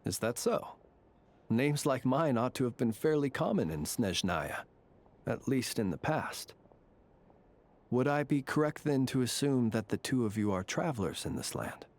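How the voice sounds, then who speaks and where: A man voicing a courteous, eccentric graveyard warden in a video game, speaking in a formal, measured, genteel way. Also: medium pitch, animated, darkish tone. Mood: polite, reserved. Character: courteous, eccentric gentleman graveyard warden, calm and faintly eerie. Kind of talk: video game character dialogue.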